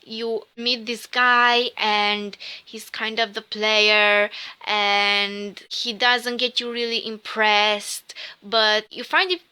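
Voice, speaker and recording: A Romanian woman whose first language is Romanian, giving a slow, musing rune reading in English with a Romanian accent. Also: mid-range voice, fairly even intonation, pushed and bright, clean tone. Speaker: tarot reader.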